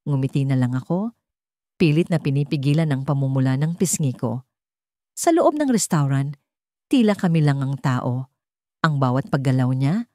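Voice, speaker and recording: A woman's voice: low voice, warm tone, no echo, studio quality. A woman narrating a scripted first-person story as a storyteller.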